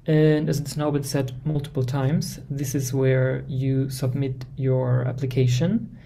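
A young man presenting a university admissions webinar over a video call, explaining steps in a measured way with frequent fillers. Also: medium pitch, gravelly, warm tone, a little hiss or hum.

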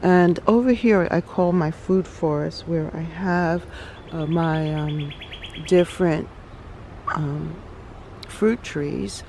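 A woman hosting a relaxed garden tour outdoors, talking reflectively with many pauses and ums. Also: sits low, slight background noise. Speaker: garden channel host. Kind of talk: casual garden tour vlog.

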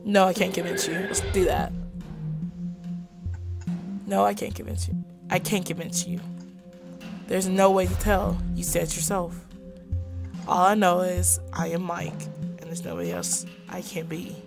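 A woman's voice: lowish voice, fairly even intonation, a little slow, vocal fry, pauses often. A woman hosting a let's play, reading visual novel dialogue aloud in a dramatic, acted way. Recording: music playing behind voice.

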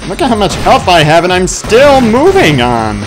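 A man in his twenties doing casual, excitable gameplay commentary full of exclamations and mild crude language. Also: high-pitched, normal speed, music in the background. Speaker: gaming video host.

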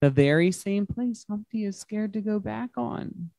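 A man reads a children's story aloud at an unhurried pace. His phrasing is simple and emphatic, made for young listeners, and the sound is dry with little room echo. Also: high voice, lively intonation, vocal fry, a little mellow. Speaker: guest reader at children's story time. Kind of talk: expressive picture-book read-aloud to children. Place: large glass-walled library room.

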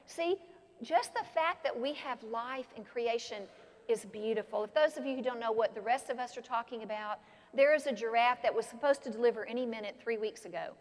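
An older woman pastor preaching an animated sermon from a pulpit in a large church sanctuary. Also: on the high side, brisk. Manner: animated, expressive preaching with gestures. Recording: long church reverb.

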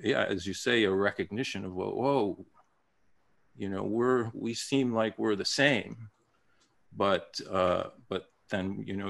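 Guy in his fifties from japan, gravelly voice, talks slow and thoughtful on a video call. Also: lowish voice. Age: 50s. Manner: thoughtful, hesitant with many fillers. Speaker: playwright guest. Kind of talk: casual interview.

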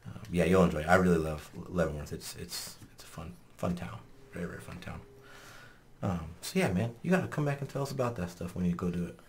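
A man hosting a podcast in a small studio, talking casually, swearing often and laughing loudly. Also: sits low, fast talker, a little hiss or hum. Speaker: podcast host. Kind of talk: casual chat. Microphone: studio mic on boom arm.

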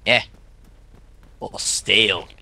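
A man's voice: on the low side, steady tone, very slow, creaky, pushed and bright, no room sound. A man from Sweden in casual voice chat during an online game, playful and mock-polite, over a distorted crackling mic. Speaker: gamer on voice chat.

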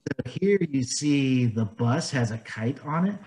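A man reads a picture book aloud to young children over a webcam on a video call. His voice sits at an ordinary middle pitch, and he talks in a gentle, simple way. He stops to explain the pictures and asks the children questions. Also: animated, measured pace, poor audio. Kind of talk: storybook read-aloud with explanations.

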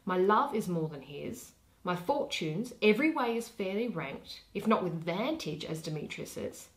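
Slow, theatrical young woman reading Shakespeare. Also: lowish voice, lively intonation. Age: young adult. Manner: theatrical, deliberate phrasing with pauses. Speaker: reader. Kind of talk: expressive dramatic reading of a Shakespeare play.

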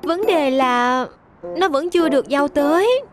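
A kind young woman from a children's cartoon, speaking like a caring big sister. The voice is high, gentle and expressive, with clear music underneath. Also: measured pace. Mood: caring, apologetic. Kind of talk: dubbed children's cartoon acting. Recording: music behind the voice.

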